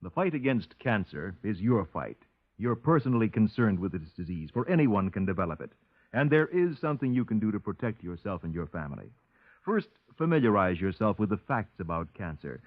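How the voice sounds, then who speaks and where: A tinny, echoey old radio recording carries a male announcer with a lowish voice. He delivers each phrase formally, measured and authoritative, in 1950s broadcast style. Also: big pitch swings.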